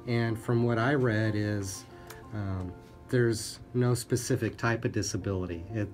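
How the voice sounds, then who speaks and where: A man's voice: lowish voice, fairly even intonation, unhurried, a little mellow, faint music behind. A man co-hosting a radio talk show in a conversational style, summing up and asking guests questions. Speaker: radio co-host. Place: small radio studio. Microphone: studio microphone.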